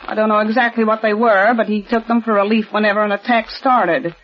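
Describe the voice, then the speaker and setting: A woman's voice: mid-range voice, steady tone, brisk, close to an everyday voice. A proper, insistent heiress in her forties, played by a woman, speaks in formal, clipped 1940s radio style. The sound is dull and lo-fi, like an old broadcast. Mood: tense. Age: 40s. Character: tense, proper wealthy eldest daughter.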